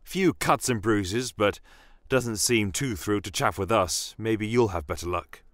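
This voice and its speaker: Man acting a deep-voiced, brisk guard captain. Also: a bit bright, no room sound, clean studio sound. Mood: brisk, dutiful. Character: brisk, dutiful male captain of the guard reporting.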